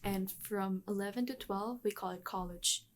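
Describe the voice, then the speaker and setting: A woman's voice: mid-range voice, moderate pace, slight background noise. A woman of twenty-one, a university student answering interview questions in a casual, hesitant way, with many ums and restarts. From Bangladesh.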